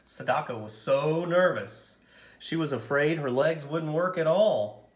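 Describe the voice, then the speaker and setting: A man narrating a picture book aloud as a storyteller, with expressive, dramatic and deliberate phrasing. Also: fairly high pitch, roomy sound.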